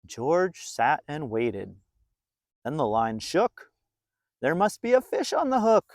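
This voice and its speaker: A man narrating a children's storybook in an expressive, deliberate way, drawing out phrases for young listeners. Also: a little high, dry recording, studio quality. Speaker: storybook reader.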